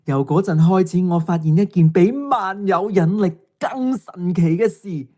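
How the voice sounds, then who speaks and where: A woman's voice: fairly low pitch, unhurried, mellow. A woman playing a mocking, bossy teenage schoolgirl with a Hong Kong Cantonese accent in an audio drama. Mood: mockingly reading aloud. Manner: taunting, theatrical delivery.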